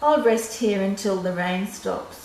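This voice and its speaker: A woman's voice: mid-range voice, measured pace, lightly acted. A woman voicing a devoted male bird husband in a children's storybook, with simple, earnest exclamations. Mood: determined. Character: devoted male bird husband, cheerful singer, easily heartbroken. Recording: roomy echo with background noise.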